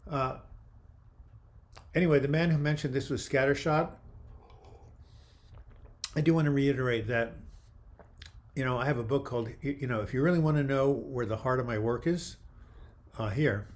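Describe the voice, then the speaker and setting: An older man hosting a live video chat, reading viewer comments aloud and replying in a friendly, halting way with frequent restarts. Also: medium pitch, steady tone. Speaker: livestream host answering viewer comments.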